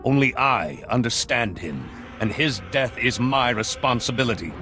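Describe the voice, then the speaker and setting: An actor playing a solemn, zealous and weary fallen Jedi in a video game, speaking gravely and deliberately. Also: male voice, medium pitch, animated, lightly acted. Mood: bitter, resolute. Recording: loud music under the voice.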